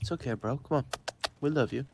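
A man's voice: mid-range voice, fairly quick, gravelly, background noise. A man chatting casually as an online teammate, eager and chummy, over gaming voice chat.

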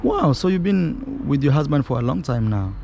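A man's voice: on the high side, very animated, moderate pace, gravelly, a little mellow, faint music behind. A young Kenyan man, native in Swahili, chatting casually and animatedly as a travel vlogger interviewing a guest outdoors. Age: young adult. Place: open rooftop terrace, outdoors. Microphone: camera at arm's length. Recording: outdoor urban background noise.

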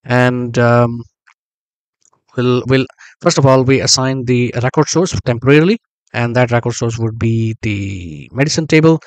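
A man explaining a database build step by step while working on screen, thinking aloud with frequent pauses and small self-corrections. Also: mid-range voice, no echo. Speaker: software tutorial instructor. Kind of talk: screen-recorded tutorial narration.

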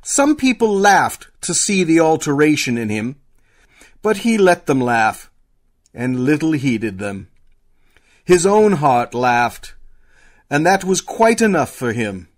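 Slow, animated male narrator, high voice. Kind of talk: dramatic scripted narration of a radio play.

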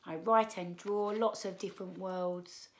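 A woman in her thirties reading aloud to children as a storyteller, expressive, with drawn-out emphasis on key words. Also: fairly low pitch, a little hiss or hum. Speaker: children's book read-aloud presenter. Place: small living room, indoors.

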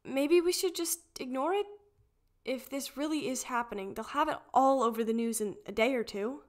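A woman's voice: sits high, moderate pace, lightly acted. A woman voicing a small, shy, tentative teenage girl in an audiobook drama. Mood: worried.

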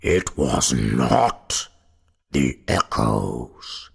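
A man voicing a stern, mocking old goblin in a storybook reading, speaking loudly and deliberately with drawn-out questions. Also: big pitch swings, vocal fry, slightly rough, exaggerated voice. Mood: stern, contradicting. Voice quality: deep. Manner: loud, slow, drawn-out questioning.

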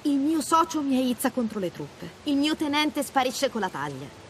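A tough, blunt mercenary in a video game cutscene. Her pitch moves a lot as she talks, with a guarded, sardonic edge. Outdoor ambience is clearly audible behind her. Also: big pitch swings, normal speed, slight background noise, poor audio. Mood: defensive, blunt.

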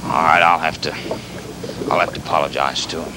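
A man playing a kindly, folksy pirate captain in a children's show, halting and stammering as he rambles and repeats himself. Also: on the high side, big pitch swings, very noisy. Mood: apologetic. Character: folksy, flustered, kindly pirate captain fond of his clumsy pet.

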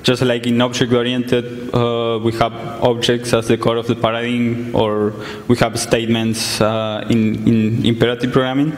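A man's voice: mid-range voice, moderate pace, few pauses. Young man speaking in an echoey hall. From Argentina. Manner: relaxed, plain explanations with frequent fillers. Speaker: conference speaker. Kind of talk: tech conference talk. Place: large conference hall. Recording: echoey hall, some distance from mic.

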